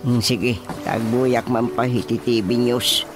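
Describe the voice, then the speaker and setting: An easygoing middle-aged father in a radio drama, played by a man, answering in relaxed, offhand mumbles at a mid pitch. He speaks with an Ilocano accent over a music bed. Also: slow, lightly acted. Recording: music bed under the dialogue.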